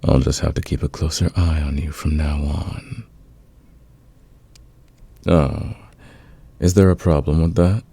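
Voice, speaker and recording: Man acting a very deep, seductive gravelly villain. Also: steady tone, mellow, dry recording, exaggerated voice. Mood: teasing, reassuring. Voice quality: gravelly, husky. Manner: intimate, teasing, unhurried, confident. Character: smitten male fantasy villain, patient, dominant, smoothly seductive. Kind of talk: romantic ASMR audio roleplay.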